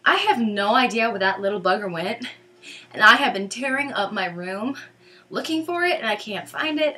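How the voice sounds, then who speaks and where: A young woman with a raspy, cracky voice, hoarse from a concert, chatting casually and rambling before a song, sitting on her bed in her bedroom and talking into a webcam mic. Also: a little high, bright, forceful tone. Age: young adult. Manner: chatty, rambling, self-deprecating. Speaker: singer covering a song on ukulele. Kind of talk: casual chat to camera. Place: bedroom, indoors, seated on a bed. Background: ukulele strumming.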